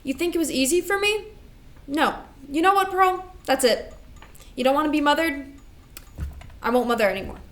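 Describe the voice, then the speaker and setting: A woman's voice: sits high, lively intonation, normal speed, pauses often. A woman acting a stern, burdened older sister in a staged play reading. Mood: angry, bitter. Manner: stern, scolding.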